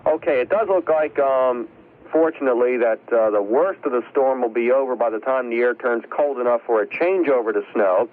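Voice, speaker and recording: A man's voice: mid-range voice, a little fast. A man giving an unscripted, explanatory live weather forecast over a phone line on an old radio broadcast.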